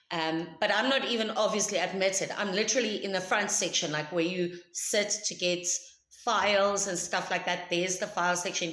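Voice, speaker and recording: A woman with a South African accent, Afrikaans by birth, tells her story to camera in a loud, emphatic, chatty voice. Her voice sits fairly low, and the room gives it a noticeable echo. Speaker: vlogger. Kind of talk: personal story told to camera.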